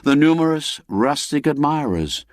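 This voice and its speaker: A man narrating a literary story in a measured, expressive storybook delivery. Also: a little high, no echo, clean studio sound. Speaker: audiobook narrator.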